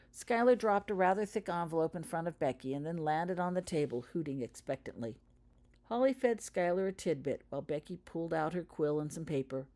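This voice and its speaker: A woman's voice: sits low, a little mellow. A woman reading her own story aloud as scripted audiobook-style narration. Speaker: author reading own fan fiction aloud.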